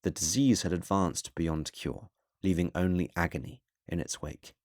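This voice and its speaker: A man with a deep voice narrates a fantasy tale as relaxing bedtime listening. His delivery is measured and solemn, with a literary storyteller's phrasing. The sound is very dry, free of room echo. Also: darkish tone.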